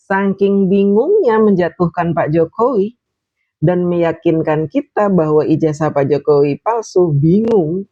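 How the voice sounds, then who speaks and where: A man's voice: sits high, expressive, slow, dry recording, lo-fi sound. A man giving scornful political commentary over video clips, mocking his opponents.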